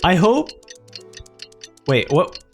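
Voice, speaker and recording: Male game streamer, very animated intonation, casual, joking, rambling, exclamatory, faint music behind. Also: sits high, extremely slow, gravelly. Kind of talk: casual live gameplay commentary.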